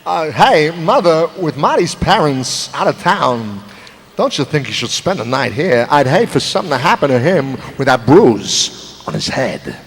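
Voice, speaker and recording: Casting: man for live comedy table read, lightish voice, animated, big-room echo. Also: on the high side, normal speed, slightly rough. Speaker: performer in a script reading. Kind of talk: live comedic reading and banter. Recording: echoey convention hall.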